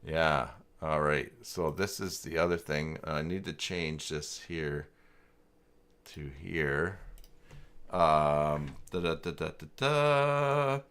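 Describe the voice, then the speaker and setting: Male tutorial streamer, deep register, informal and halting, talks to himself and reads off the screen, often trailing off. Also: a bit bright, clear, resonant tone. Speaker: livestream host working through website email setup. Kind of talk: casual livestream tutorial, thinking aloud and reading from screen.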